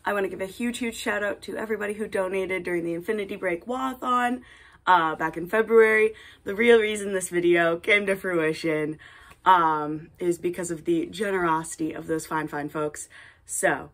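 A woman's voice: on the low side, normal speed. Casual young woman, playful commentary. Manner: playful, self-deprecating. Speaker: video creator. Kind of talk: casual, rambling voice-over commentary.

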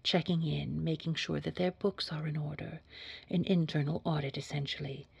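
A woman playing a poised, sinister boss in an audio roleplay, speaking formally in a hushed, husky, measured and quietly controlling way. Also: low-pitched, fairly even intonation, no room sound, highs cut off, exaggerated voice. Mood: businesslike. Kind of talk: scripted audio roleplay acting.

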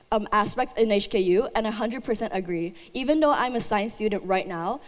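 A woman's voice: a little high, a little mellow. A young woman student giving a chatty, upbeat presentation talk with lots of fillers, speaking into a handheld mic. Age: young adult. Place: large hall. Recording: echoey hall sound.

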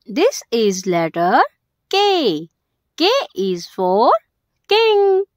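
A woman narrates a lesson for young children in short, simple phrases with the key words stressed. Her voice is fairly high and very animated in pitch. The sound is dry and close. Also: very slow, dry recording. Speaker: host of a children's alphabet video.

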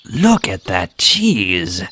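A man voicing a sly, flattering fox in a children's fable, with a low, gravelly, husky voice and drawn-out delivery. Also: music in the background, heavily acted. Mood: greedy, scheming. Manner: slow, drawn-out, smooth-talking flattery. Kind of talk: children's storybook character acting.

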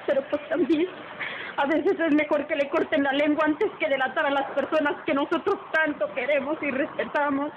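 A humble woman played by an actress speaks high and plaintively in a radio melodrama. The old recording is tinny and phone-like, with noticeable noise. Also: fairly even intonation, normal speed, natural voice. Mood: deferential. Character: humble, pleading, deferential young woman. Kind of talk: old radio drama acting.

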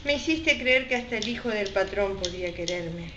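A woman's voice: medium pitch, lively intonation, moderate pace, natural voice. An Argentine woman voicing a lovesick, impulsive young seamstress in a radio soap. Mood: anxious, hopeful. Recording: echoey, noisy, muffled home recording.

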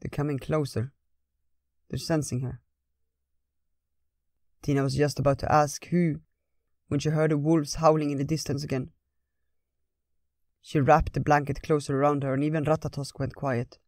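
A woman storyteller reading a fairytale as scripted, gentle narration. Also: sits low, little pitch movement, normal speed, no room sound.

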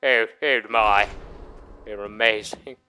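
A man's voice: on the low side, steady tone, slow, pushed and bright, clear, resonant tone. A man giving casual, sweary, exclamatory gameplay commentary as he hosts a gaming video, often talking himself up. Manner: sweary, self-congratulating, exclamatory.

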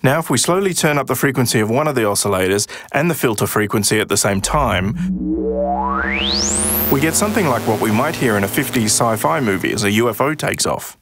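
A man's voice: mid-range voice, lively intonation, a little fast, over loud music. A middle-aged man narrating a scripted explainer as a sound designer.

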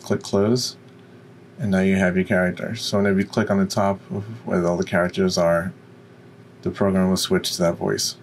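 A man with a deep voice giving an unscripted, step-by-step software tutorial. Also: level tone, normal speed, slight background noise. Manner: instructional, step by step. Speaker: tutorial presenter.

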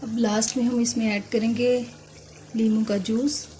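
A woman hosting a cooking video, giving calm step-by-step instructions and softly murmuring a blessing before each ingredient goes in. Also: fairly high pitch, moderate pace. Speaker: cooking channel host. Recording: noisy kitchen recording with sizzling. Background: frying and sizzling in the pan.

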